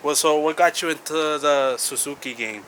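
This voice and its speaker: A man's voice: on the high side, moderate pace, fairly bright tone, slight background noise. A man hosting a casual interview, asking friendly questions with short reactions. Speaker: interviewer, channel host.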